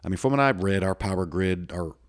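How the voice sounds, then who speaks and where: A loud, very low-voiced middle-aged man from the United States hosts his own podcast alone. He rambles in a folksy, plainspoken way, stopping and restarting his sentences often. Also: lively intonation, brisk. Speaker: podcast host. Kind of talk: solo casual podcast talk.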